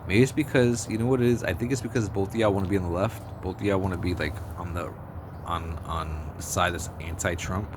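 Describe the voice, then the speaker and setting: Man vlogger, very low bass voice, blunt and profane, rambles and restarts, street traffic noise behind. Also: a little fast. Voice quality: deep bass voice. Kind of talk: casual opinion monologue. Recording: outdoor street noise with passing vehicles.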